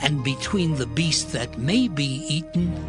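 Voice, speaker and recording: Man playing a slow, solemn God over music. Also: a little high, expressive. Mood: solemn, commanding. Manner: solemn, measured, declamatory. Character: God, solemn commanding male lawgiver. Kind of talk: dramatized scripture reading.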